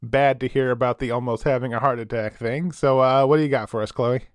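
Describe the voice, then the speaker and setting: A man's voice: medium pitch, fairly even intonation, a little fast, clean tone, dry recording, clean studio sound, close to an everyday voice. A man playing a casual, informal host of a late-night paranormal radio call-in show. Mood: amused, easygoing. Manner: chuckling, informal, apologetic.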